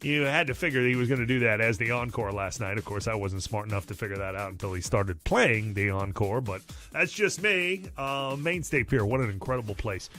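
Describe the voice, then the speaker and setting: A male radio host delivers upbeat station patter with a conversational, enthusiastic push. His voice sits at a mid-range pitch and lands emphatically on key phrases. Music plays behind him in the broadcast. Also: brisk, sharp tone.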